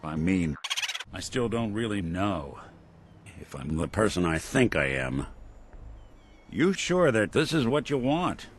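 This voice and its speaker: An American actor playing a weary old android detective in a video game, with wry, halting, noir-style delivery. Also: male voice, low voice, very animated, slight background noise. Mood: hesitant, disbelieving.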